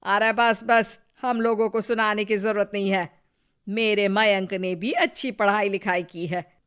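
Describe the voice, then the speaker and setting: A woman's voice: mid-range voice, steady tone, measured pace, a bit bright, clean tone, lightly acted. A woman playing a bitter, suspicious older Indian mother-in-law who taunts and needles in a Hindi moral story. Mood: scornful boasting. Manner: sarcastic, needling.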